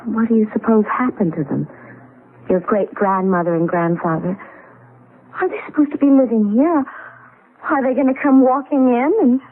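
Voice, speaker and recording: An actress playing a frightened, observant American wife in a 1970s radio drama, anxious and insistent in manner. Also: female voice, fairly high pitch, moderate pace, close to an everyday voice. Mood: worried. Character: frightened, observant wife unnerved by uncanny events. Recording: tinny, very noisy 1970s radio broadcast.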